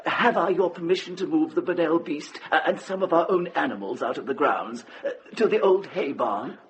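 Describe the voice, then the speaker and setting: An actor playing a deferential, slightly flustered monk in a radio drama, hesitant and formal. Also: male voice, fairly high pitch, moderate pace, slight background noise, natural voice.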